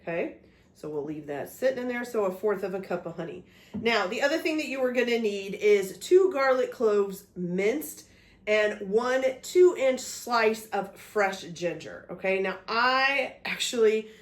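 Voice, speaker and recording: A woman's voice: medium pitch, animated, unhurried. A woman hosting a home cooking video, talking through each step while getting over a cold, checking in with "okay?" as she goes. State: recovering from a cold, voice a bit off. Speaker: cooking vlog host. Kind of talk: casual cooking demonstration. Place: home kitchen. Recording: echoey kitchen room.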